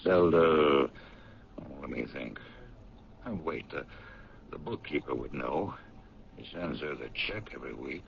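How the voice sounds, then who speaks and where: Rambly factory boss, super low rough voice, old radio play. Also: male voice, unhurried, long pauses, background noise, full character voice. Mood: musing. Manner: rambling, chatty. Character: gruff middle-aged male factory owner, rambling. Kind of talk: 1970s radio drama acting. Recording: dull lo-fi 1970s radio recording.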